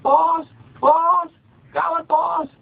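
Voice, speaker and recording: A man voicing a panicky young male pirate lackey who shouts frantic, stammering warnings to his boss. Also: extremely high pitch, flat delivery, drawn-out words, vocal fry, voice pushed up, exaggerated voice. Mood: panicked. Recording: tinny lo-fi with faint noise.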